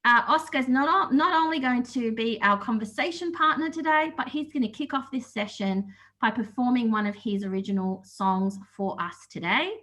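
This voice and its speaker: A woman moderating a panel over a video call. Her voice sits a little high and sounds bright. She introduces people in a friendly, slightly hesitant way. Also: normal speed. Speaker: panel host.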